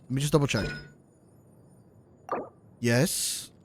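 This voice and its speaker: A man's voice: mid-range voice, slow pace. A man doing casual, joking game livestream commentary, swearing now and then as he talks to his chat. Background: video game music and sounds.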